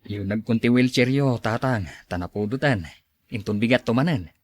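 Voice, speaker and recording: A man's voice: medium pitch, moderate pace, natural voice. A man playing a devoted, respectful grown son in a radio drama, speaking earnestly. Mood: caring. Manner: earnest, deferential.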